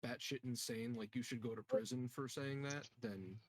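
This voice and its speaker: A man's voice: medium pitch, normal speed, dry recording. A man chatting casually as a game player, offhand and joking in a noncommittal way. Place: online voice call.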